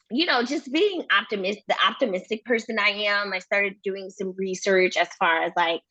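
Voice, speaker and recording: A woman's voice: fairly low pitch, bright, forceful tone, no echo. An upbeat young woman, born in Nigeria and raised in Maryland, tells her story as a podcast guest. She speaks casually with lots of "um"s and easy laughs. The recording is dry and a bit dull, with a muffled top end. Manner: upbeat, laughs often, many "um"s. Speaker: podcast guest, floral entrepreneur.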